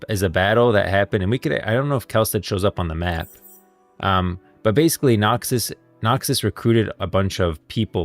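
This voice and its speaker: A man giving casual livestream commentary on game lore, rambling and thinking aloud as a streamer. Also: low-pitched.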